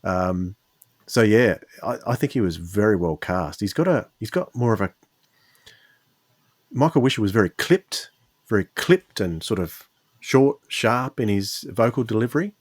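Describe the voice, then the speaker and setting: A man's voice: lowish voice, lively intonation, moderate pace, no echo. A man hosting a podcast, chatting casually in a rambling, hesitant way and often correcting himself. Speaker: podcast host. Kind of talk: casual conversational podcast talk.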